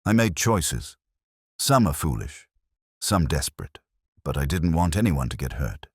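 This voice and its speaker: A man voicing a composed, genteel village woman in a mystery story, speaking with quiet, restrained dignity. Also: very deep voice, sing-song, a little slow, husky, dry recording, clean studio sound, exaggerated voice. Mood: resigned.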